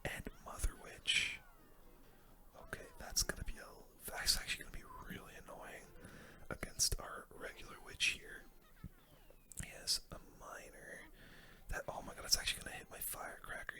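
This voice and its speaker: A gaming host speaking in a soft, unvoiced whisper, giving casual, hushed play-by-play in an ASMR style. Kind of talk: whispered ASMR game commentary.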